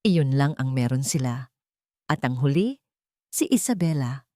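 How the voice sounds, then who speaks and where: A woman's voice: extremely low pitch, lively intonation, a little slow, mellow, no echo, studio quality. A woman narrating a scripted dramatic story in an earnest, suspenseful storytelling manner. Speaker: audiobook narrator.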